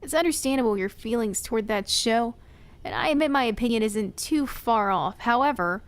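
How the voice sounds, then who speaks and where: A woman playing a polite, businesslike police detective in an audio drama, measured and matter-of-fact. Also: a little high, expressive, clear, resonant tone, close to an everyday voice.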